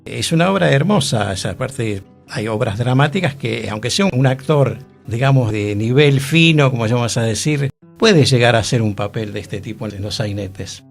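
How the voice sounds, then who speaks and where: Conversational middle-pitched radio man. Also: lively intonation, moderate pace, creaky, faint music behind. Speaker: radio show co-host and actor. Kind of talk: cultural radio discussion.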